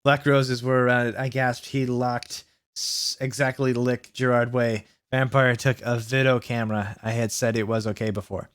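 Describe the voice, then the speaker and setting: Guy reading a story out loud for laughs, kinda fried, trips on words and complains. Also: medium pitch, little pitch movement, normal speed, clear, resonant tone. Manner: stumbles over misspelled words, breaks off into exasperated asides. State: mentally worn out from long reading. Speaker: YouTube host reading fan fiction aloud. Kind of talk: comedic dramatic read-aloud with asides to viewers.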